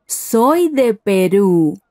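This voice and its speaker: Woman acting as a friendly, very slow-speaking stranger. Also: medium pitch, big pitch swings, warm tone, dry recording, studio quality. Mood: friendly, curious. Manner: deliberate, carefully enunciated. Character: friendly Peruvian woman chatting with a tourist. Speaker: voice actor in language lesson dialogues. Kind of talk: scripted learner dialogue, each phrase said slowly then at normal speed.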